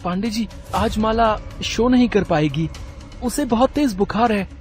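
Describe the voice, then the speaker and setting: Man doing a young circus guy, high voice, animated, casual, plain-spoken, cartoon story, faint music. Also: a little slow. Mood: concerned.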